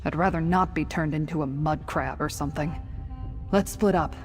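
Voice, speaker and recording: A woman's voice: deep voice, moderate pace, long reverb tail, soft background music, lightly acted. An actress playing a bold, wry woman warrior in a fantasy game, giving blunt tactical orders. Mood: grim, determined. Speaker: companion character in a fantasy game. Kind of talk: acted fantasy game dialogue.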